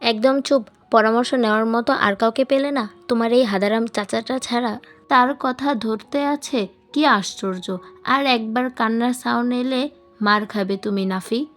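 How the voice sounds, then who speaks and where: A young mother in an audiobook story, played by a woman reader. She is stern and exasperated, scolding sharply. Her voice is fairly high over background music. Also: moderate pace, lightly acted.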